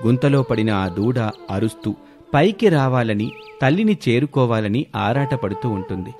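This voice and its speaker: A man's voice: medium pitch, expressive, normal speed, a little mellow, soft background music. A man reading a scripted children's moral story as narrator.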